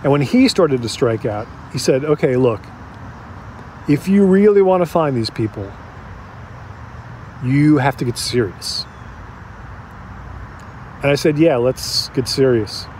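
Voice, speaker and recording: Actor as halting, regretful man over street noise. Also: mid-range voice, expressive, a little mellow, close to an everyday voice. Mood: reflective, frank. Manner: halting, reflective, conversational. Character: regretful man confiding in a documentary interviewer. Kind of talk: audio drama, naturalistic interview testimony.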